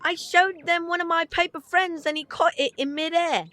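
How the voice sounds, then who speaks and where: A woman's voice: very high voice, steady tone, moderate pace, sharp tone. A woman playing a small, eager, obedient young boy in an audio drama. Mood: excited.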